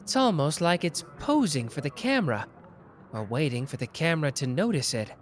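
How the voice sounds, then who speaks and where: A man voicing a sharp, playful young detective in an ASMR roleplay, teasing and quick-witted. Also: fairly high pitch, sing-song. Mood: probing. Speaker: voice actor.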